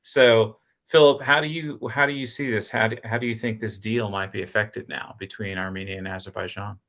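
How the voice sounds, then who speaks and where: A man's voice: lowish voice, fairly even intonation, a little fast, fairly bright tone. A man hosting a live panel discussion, directly steering it on to the next questions. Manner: direct, moves things along. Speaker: host.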